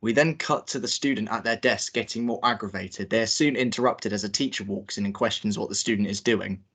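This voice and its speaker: A man reading out the stage directions at a table read in a plain, steady way. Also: on the low side, a little fast, husky.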